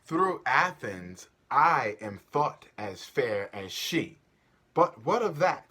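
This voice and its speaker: A lovelorn young woman played by a man pines in a Shakespeare soliloquy. The delivery is wistful and declamatory, slow, with wide swings of pitch. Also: very animated, bright, forceful tone, husky, exaggerated voice. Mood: pining.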